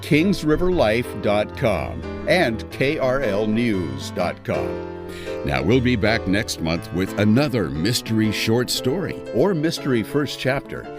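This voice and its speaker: A man's voice: on the low side, sing-song, a little slow. A man working as a podcast announcer, reading a scripted outro. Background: plucked guitar music.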